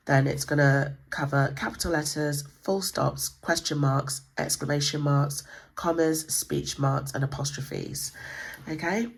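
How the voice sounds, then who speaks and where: A woman with a croaky voice from a cold, chatting casually through a book review, with lots of ums. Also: rumbling low. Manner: chatty, many ums, relaxed explaining. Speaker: homeschooling channel host. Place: indoors at a table.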